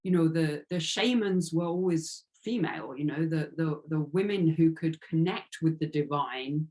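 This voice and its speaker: A woman's voice: low-pitched, moderate pace, darkish tone. Earnest woman thinking aloud in conversation. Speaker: author being interviewed. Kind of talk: reflective conversational interview.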